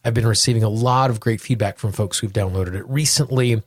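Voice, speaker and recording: A man with a fairly low, slightly husky voice talks as a solo podcast host. He phrases things earnestly and deliberately, often repeating for emphasis. The sound is close and dry. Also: no echo, faint music behind. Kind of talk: solo podcast talk with guided affirmations.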